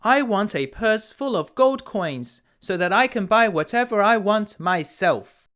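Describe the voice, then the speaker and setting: A demanding young daughter from a fairy tale, acted out by a man reading aloud. The voice is pushed up high and swoops about. She sounds greedy and wheedling, as in a children's storybook. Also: expressive, unhurried, a bit bright. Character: demanding, spoiled young woman, merchant's elder daughter.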